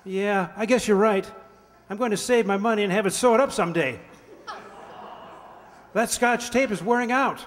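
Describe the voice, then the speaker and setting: A man's voice: high-pitched, moderate pace, pauses often, close to an everyday voice. A man playing a naive, obedient and earnest young son in an old-time radio comedy. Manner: earnest, guileless. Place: amateur stage reading in a hall. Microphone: stand microphone. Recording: echoey stage in a large hall.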